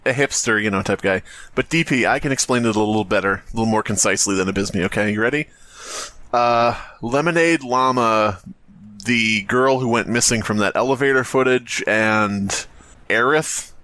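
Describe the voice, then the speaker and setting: A man's voice: on the low side, normal speed, sharp tone. A man co-hosting a podcast with casual, joking banter and some teasing. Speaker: podcast co-host.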